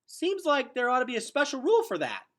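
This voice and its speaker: A man voicing a defiant, earnest young boy hero in a storybook, blunt, pleading and forceful. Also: extremely high pitch, wildly swooping pitch, fast talker, voice pushed up, full character voice. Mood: incredulous.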